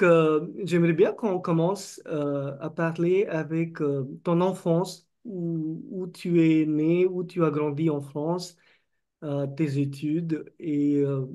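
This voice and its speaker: Slow, halting male interview host. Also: fairly high pitch, a little mellow. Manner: slow, halting, searching for words.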